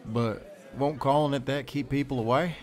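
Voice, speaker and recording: A man's voice: mid-range voice, fairly even intonation, normal speed, faint music behind, natural voice. A man playing a polite, curious stranger in town, plainspoken and inquisitive, in an audio drama.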